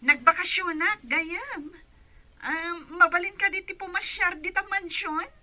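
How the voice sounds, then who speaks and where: Very bright, high-pitched Filipina friend. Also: female voice, unhurried, full character voice. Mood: friendly. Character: female friend on a call, chatty. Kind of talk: radio drama dialogue.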